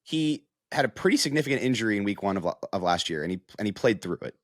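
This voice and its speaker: Low-voiced male sports analyst, dry sound. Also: lively intonation, fast talker, a bit bright, no room sound. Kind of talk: casual analytical sports talk.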